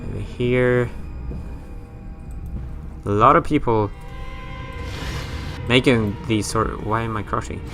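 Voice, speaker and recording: A man's voice: medium pitch, takes it slowly, gravelly, pauses often, fairly bright tone, soft background music. A man from Sweden with a Swedish accent, rambling and joking his way through a horror game let's play. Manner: rambling, self-mocking, swears casually. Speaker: gaming YouTuber.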